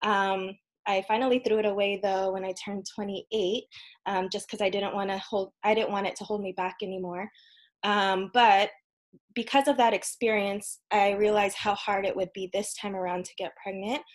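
A woman in her thirties, a podcast guest, telling a personal story in an earnest, casual way with frequent ums and soft laughs. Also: mid-range voice, fairly even intonation, normal speed. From United States. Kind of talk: casual interview conversation.

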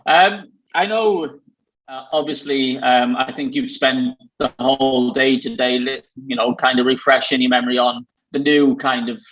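A man's voice: medium pitch, fairly even intonation, normal speed, lo-fi sound. A man from Wales with a Valleys accent, chatting casually as host, rambling with plenty of fillers. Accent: South Wales Valleys. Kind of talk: casual chat.

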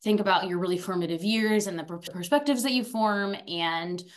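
A woman's voice: mid-range voice, normal speed. A young woman raised in France and then Arizona, chatting as a podcast guest in a talkative, upbeat and goofy way. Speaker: guest, alumna. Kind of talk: casual interview chat.

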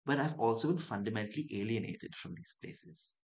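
A man's voice: on the low side, animated, brisk, creaky. A young man from Dubai and Chennai, a writer guest in an interview, talking in a reflective, musing way. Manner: thoughtful, musing, acts out quoted voices.

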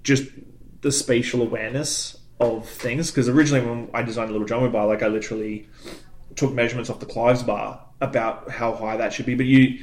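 A man's voice: mid-range voice, steady tone, brisk, low-quality recording. Man, thirties, casual host, rambles and chuckles a lot. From moved to Canada as an adult. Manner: rambling, laughs often. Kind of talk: casual bar-industry chat.

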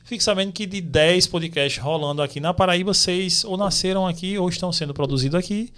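A man, co-hosting a casual podcast. He talks in a relaxed, slangy way with quick interjections, and his voice sits somewhat high for a man. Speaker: podcast co-host. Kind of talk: casual podcast chat and studio plug.

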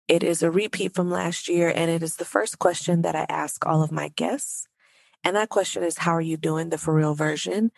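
Woman, podcast host, stuffy nose, gravelly, dry close sound, conversational solo talk. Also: lowish voice, no echo. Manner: conversational, addresses listeners directly.

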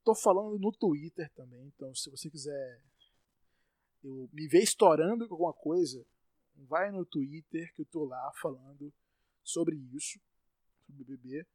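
A Brazilian man hosting his own podcast, ranting in exasperation, with sudden loud outbursts and stumbling, repeated words when he gets worked up. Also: fairly high pitch, normal speed, dry recording. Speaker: podcast host. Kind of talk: solo podcast rant.

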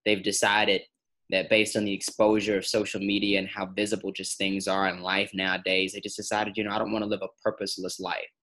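A man's voice: lowish voice, completely flat delivery, a little fast, bright, forceful tone, slight background noise. A young man in his twenties, a pastor, answering interview questions earnestly with repetitive phrasing. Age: 20s.

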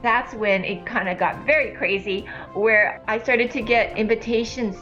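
A woman in her sixties from Hawaii, talking earnestly as an interview guest, with halting restarts. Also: on the high side, steady tone, a bit bright, lo-fi sound, faint music behind. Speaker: guest, ministry leader. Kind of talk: casual interview talk.

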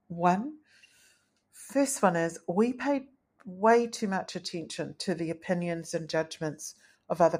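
A woman hosting a solo podcast, speaking in an earnest, gentle, thoughtful way with a low, tenor-like voice. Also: breathy edge. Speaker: podcast host. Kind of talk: reflective solo podcast talk.

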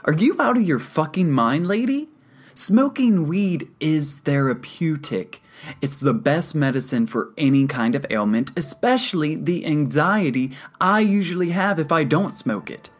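A woman's voice: deep voice, big pitch swings, measured pace, pitched above the performer's own voice, exaggerated voice. A woman voicing an angry, brash young male patient in an audiobook, indignant and emphatic. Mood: outraged, profane.

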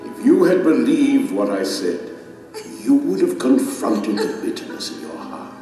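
A majestic king judging souls in an audio drama. He is solemn, stern and deliberate, with dramatic rises and falls in his speech. His words ring out through a vast echo over music. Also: medium pitch, sing-song. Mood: stern reproach. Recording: cavernous long reverb under dramatic music.

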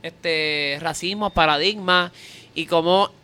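Bright, clear-voiced playful bilingual panelist. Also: medium pitch, slow. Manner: playful, mixes Spanish and English. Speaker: panelist on a talk show. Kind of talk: casual chat.

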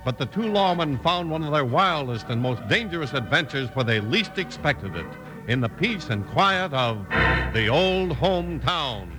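A man's voice: on the high side, very animated, moderate pace, low-quality recording. A man with an American accent announcing an old-time radio show in an emphatic, salesmanlike scripted style. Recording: old radio recording with music behind.

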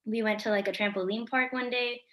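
A woman's voice: fairly high pitch, steady tone, fairly quick, fairly bright tone. A sixteen-year-old girl co-hosting a podcast, chatting casually and saying "like" a lot. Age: teens. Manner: chatty, lots of "like". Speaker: podcast co-host.

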